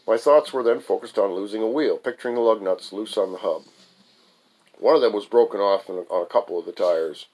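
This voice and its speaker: A man with a fairly low voice recites a piece of his own autobiographical prose. He reads as the author, in a scripted storytelling style, now and then tripping over a word and picking it back up. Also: a little mellow, rough recording. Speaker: author reading own writing aloud. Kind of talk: scripted first-person narrative reading, recitation.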